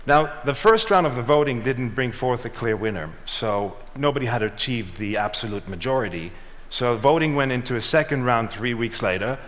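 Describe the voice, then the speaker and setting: A middle-aged man giving a prepared talk on stage, telling a measured story and letting his lines trail off. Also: medium pitch, expressive, a bit bright, slight background noise. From Switzerland and Ghana. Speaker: speaker. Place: large auditorium. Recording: reverberant hall.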